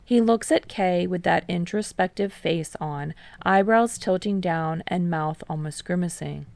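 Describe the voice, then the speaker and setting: Woman narrator for fiction, unhurried pace, gravelly creak, slightly low, dry close sound. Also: a little mellow, dry recording. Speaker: fan fiction reader. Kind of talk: scripted narration of a story, audiobook-like.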